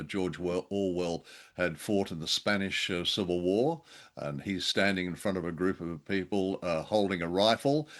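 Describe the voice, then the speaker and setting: A man's voice: deep voice, a bit bright. A man co-hosting a casual discussion show, speaking in a hesitant, wry, self-deprecating way. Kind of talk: casual discussion of an old radio drama.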